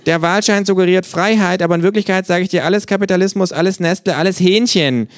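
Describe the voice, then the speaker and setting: Male reader voicing a storybook kangaroo: cheeky, mocking, preachy, pitched up and loud. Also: fast talker, no room sound, clean studio sound, lightly acted. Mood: ranting. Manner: snappy, mocking, ranting. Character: cheeky know-it-all male kangaroo, ranting leftist.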